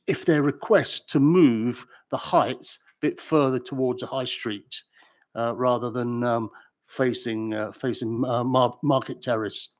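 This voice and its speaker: A man with a British accent, a councillor at a planning meeting, asking long questions with many pauses, fillers and restarts. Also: mid-range voice, steady tone. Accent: British English.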